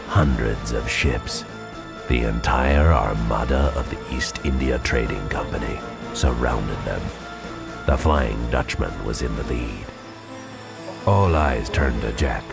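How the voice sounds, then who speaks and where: Very deep, creaky male storybook narrator. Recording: loud film score and effects behind.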